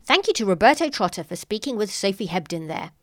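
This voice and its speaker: A woman's voice: mid-range voice, sing-song, fast talker. A woman podcast host reading a scripted wrap-up and announcements. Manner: clear, read-aloud announcing.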